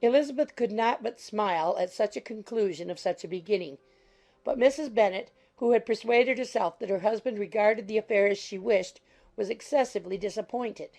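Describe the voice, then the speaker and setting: A woman's voice: medium pitch, fairly quick, creaky, darkish tone, faint music behind. A woman reading scripted literary narration as an audiobook narrator.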